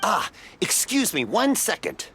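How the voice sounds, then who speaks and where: A man's voice: high voice, sing-song, a little slow, bright, forceful tone, slight background noise, heavily acted. A man voicing a jovial, hearty older festival stallkeeper in a video game, with a folksy, effusive manner. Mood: friendly, curious.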